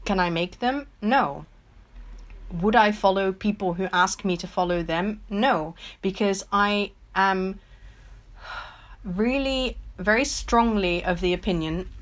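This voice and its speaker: A woman's voice: medium pitch, takes it slowly. A young Dutch-born woman with a mix of American and British English accents, answering viewers' questions in a casual, rambling, reflective way from her home art studio. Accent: mixed American and British English. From Netherlands. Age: young adult. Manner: reflective, rambling, thinks aloud with many ums. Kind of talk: casual solo Q&A.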